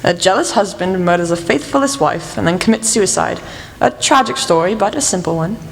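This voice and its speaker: Woman acting a brisk, animated lady detective. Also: lowish voice, slight background noise. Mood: dry, brisk. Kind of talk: radio play acting. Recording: echoey hall, music clearly behind.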